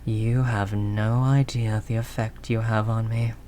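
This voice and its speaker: A man's voice: fairly low pitch, little pitch movement, a little slow, warm tone, slight background noise, lightly acted. A man playing a world-weary, doting mafia boss in an intimate roleplay, talking in teasing street slang with pet names. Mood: tender, teasing. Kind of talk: intimate scripted roleplay audio drama monologue.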